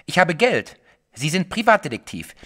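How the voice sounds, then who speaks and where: A man's voice: fairly high pitch, big pitch swings, normal speed, bright, forceful tone, exaggerated voice. An actor playing an anxious, insistent wealthy man in a German audio drama, pleading his case.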